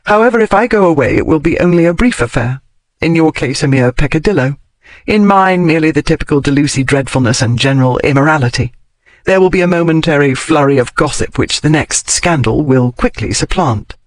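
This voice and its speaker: A sharp-tongued, logical lady of a bygone era, as a male audiobook narrator puts her on, lifting his voice high and clipping each formal phrase. The sound is close and dry, with no room around it. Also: animated, fairly quick, no room sound, close to an everyday voice. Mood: insistent. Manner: crisp, formal period speech rising in pitch. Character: blunt, logical Victorian-era lady, wry and emotional. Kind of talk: audiobook character reading.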